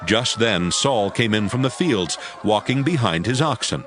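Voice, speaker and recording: A man's voice: on the low side, animated, unhurried, a bit bright, a little hiss or hum. A man narrating an audio Bible drama as a scripted, dramatic storyteller.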